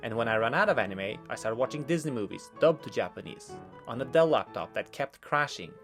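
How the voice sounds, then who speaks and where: A young man from Norway narrating his own vlog in a scripted voice-over with dry, deadpan humor. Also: medium pitch, a little fast, faint music behind. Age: young adult. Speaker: vlogger narrating his life.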